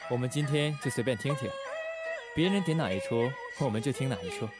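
A man playing a shrewd, authoritative secret-service chief in a Chinese audio drama, measured and wry. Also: medium pitch, expressive, lightly acted. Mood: wryly amused. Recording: music bed behind the voice.

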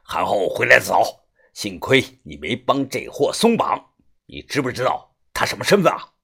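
A man's voice: medium pitch, very animated, normal speed, creaky, a bit bright, slightly rough, full character voice. A man voicing a short-tempered older man guarding a field, who barks blunt, scornful lines. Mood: gruff. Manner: barking, blunt.

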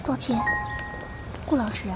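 A woman playing a young university student in an audio drama, bashful and polite but given to excitable complaining. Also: a little slow, natural voice. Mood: reserved, polite. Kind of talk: Mandarin audio drama acting. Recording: music bed under the voice.

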